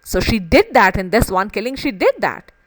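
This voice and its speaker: A woman's voice: mid-range voice, very animated, normal speed, dry recording. A woman literature teacher explaining a play in an emphatic way, often repeating and rephrasing phrases to stress them.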